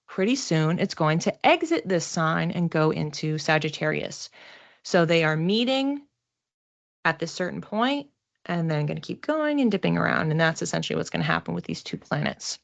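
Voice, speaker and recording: A woman's voice: fairly low pitch, very animated, moderate pace, gravelly. A woman teaching a class in an informal, conversational way, explaining point by point and often setting out short listed phrases. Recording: slightly dull, lo-fi audio.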